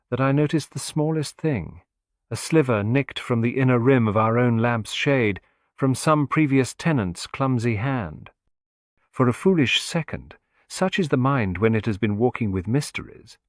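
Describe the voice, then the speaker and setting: A man reads a scripted mystery as an audiobook narrator. His voice sits at a medium pitch, with a measured, literary delivery. The recording is dry and close. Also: no echo.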